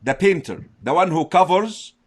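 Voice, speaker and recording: A man from Egypt and an Arabic speaker, teaching as a sheikh in English. He explains slowly and recites Arabic verses. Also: high-pitched, big pitch swings, husky. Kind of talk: religious teaching discussion.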